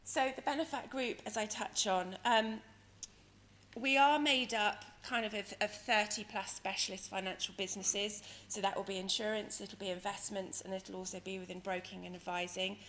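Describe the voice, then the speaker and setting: A woman with a croaky, hoarse voice giving a conference presentation as a company director, explaining things in a hesitant, wordy way. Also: mid-range voice, fairly even intonation, normal speed, fairly bright tone. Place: conference venue in London, speaking to an audience. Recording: noisy conference hall ambience.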